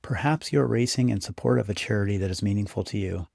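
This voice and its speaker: Low-voiced man guiding a meditation. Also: vocal fry, a little mellow, no echo, studio quality. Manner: unhurried, instructive, encouraging second-person address. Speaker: meditation guide. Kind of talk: guided meditation, scripted narration.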